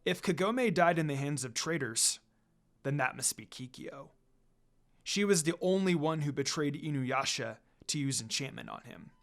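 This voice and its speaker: Higher-voiced man narrating a dramatic story. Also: lively intonation, normal speed. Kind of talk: dramatic scripted storytelling, fan fiction reading. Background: music.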